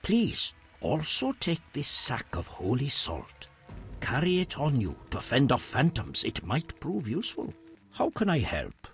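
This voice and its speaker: An actor playing a solemn, reverent hermit in a fantasy video game, with formal, measured phrasing and a husky voice. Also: male voice, mid-range voice, huge pitch swings, a bit bright, faint music behind, heavily acted. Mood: courteous.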